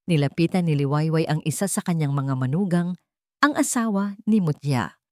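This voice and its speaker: A woman reading a sentimental love story as a scripted narration, in a measured, earnest storytelling manner. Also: low-pitched, expressive, warm tone, no room sound, studio quality.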